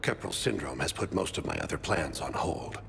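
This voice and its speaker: Deep gravelly serene alien man. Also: a little hiss or hum, exaggerated voice. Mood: resigned, gracious. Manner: formal, measured, calm phrasing. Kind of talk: video game cutscene dialogue.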